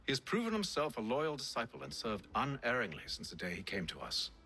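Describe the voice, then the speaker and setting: An actor playing a commanding middle-aged leader of a secret order in a video game, speaking formally and solemnly. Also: male voice, medium pitch, huge pitch swings, a little fast, bright, forceful tone, a little hiss or hum, rough recording. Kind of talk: video game cutscene acting.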